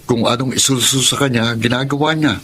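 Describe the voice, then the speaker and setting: A man's voice: medium pitch, steady tone, fast, sharp tone, a little hiss or hum, lightly acted. A man playing a concerned, dutiful elder brother in a radio drama, thoughtful and troubled. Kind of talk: Tagalog radio drama acting.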